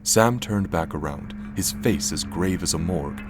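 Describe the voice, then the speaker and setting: An actor playing a droll, tongue-in-cheek storyteller, narrating a comic audio play in a dramatic style. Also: male voice, low-pitched, moderate pace, over music, natural voice. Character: droll, mock-epic male storyteller.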